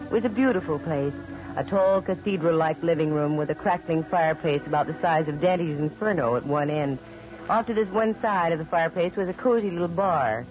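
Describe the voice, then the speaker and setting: An American actress playing a wry, sharp-tongued female private investigator in 1940s radio style, delivering dry wisecracks and narration. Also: sits low, lightly acted. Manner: dry, sardonic, quick with wisecracks. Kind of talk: 1940s radio acting with first-person narration. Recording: muffled vintage radio broadcast with music.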